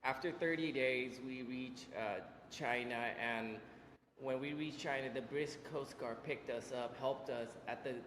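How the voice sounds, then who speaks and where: A man in his forties from Vietnam, telling his life story as an interview guest, halting with many fillers and restarts. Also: medium pitch, a bit bright, slight background noise. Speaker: interview guest, business owner. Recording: echoey room with long reverb.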